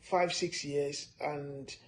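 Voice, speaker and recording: A man from Nigeria giving a steady, repetitive finance lesson, stressing his points with frequent "uh" fillers. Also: fairly high pitch, extremely slow, a little hiss or hum. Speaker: personal finance presenter. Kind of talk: instructional talk to camera.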